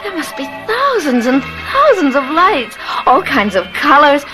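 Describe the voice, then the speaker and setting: An actress playing a naive, trusting young woman in an old radio mystery, with a drawn-out, dramatic delivery. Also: high-pitched, sing-song, music in the background. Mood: thrilled. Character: naive, breathlessly romantic young woman, trusting and easily thrilled.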